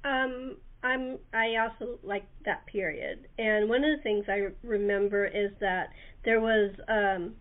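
A woman hosting a casual interview, chatting in tentative, halting phrases. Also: mid-range voice. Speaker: interview host. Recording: narrow-band phone-like radio line.

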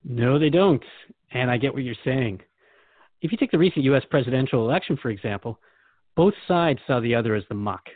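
A man's voice: mid-range voice, expressive, a little fast, dry recording, phone-quality sound, natural voice. A man playing a curious, earnest seeker questioning a spiritual guide in a conversational scripted dialogue. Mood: reflective. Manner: inquisitive, conversational.

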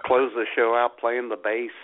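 A man's voice: mid-range voice, flat delivery, normal speed. A man co-hosting a radio show with mock-dramatic, sarcastic comic banter, heard over a phone-like connection. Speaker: co-host of a political comedy radio show. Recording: tinny phone-line internet radio audio.

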